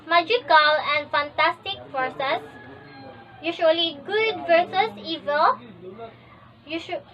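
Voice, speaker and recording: Very high-pitched young-sounding woman, slow lesson reading. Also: far from the mic. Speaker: student presenter. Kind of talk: scripted lesson narration. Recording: dull lo-fi, off-mic sound.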